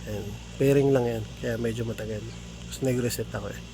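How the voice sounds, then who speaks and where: A man with a Filipino accent giving a casual hands-on product demonstration in an informal, halting, think-aloud way. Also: medium pitch, fairly even intonation. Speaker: product tutorial presenter. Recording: clearly noisy background.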